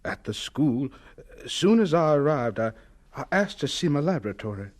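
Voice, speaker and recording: An earnest, good-humored professor for a radio biography. His voice sits high and moves around a great deal. He speaks in a folksy, passionate way. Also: big pitch swings, moderate pace. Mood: wry, reflective. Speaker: actor in a radio biography drama. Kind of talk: radio drama acting.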